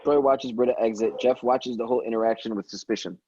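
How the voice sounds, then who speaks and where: A man's voice: medium pitch, steady tone, fast, darkish tone, muffled recording, a little hiss or hum. A man reading screenplay action lines as narration at a table read. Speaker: stage-direction reader at a table read.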